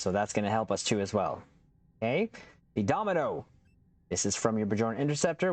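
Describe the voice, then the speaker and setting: Man, gaming channel host, upbeat, chatty, casual build walkthrough, mid-range pitch. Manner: upbeat, chatty, addresses viewers as captains.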